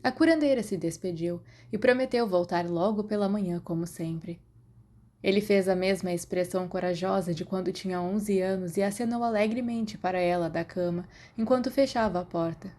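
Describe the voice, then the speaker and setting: A woman's voice: mid-range voice, moderate pace, warm tone. A woman with a Brazilian accent reading scripted fiction as an audiobook narrator. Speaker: podfic narrator.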